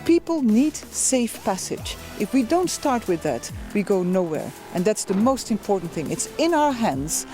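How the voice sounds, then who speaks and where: A woman's voice: medium pitch, sing-song, unhurried, few pauses. A woman interviewed in a documentary clip, speaking earnestly and emphatically. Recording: played video clip with soft music.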